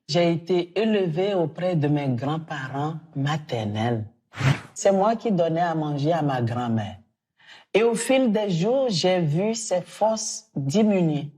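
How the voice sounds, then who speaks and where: Nun from Benin, community head; slow, mellow, reflective interview voice. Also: female voice, sits low, expressive, slightly rough. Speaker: religious sister, community leader. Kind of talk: documentary interview.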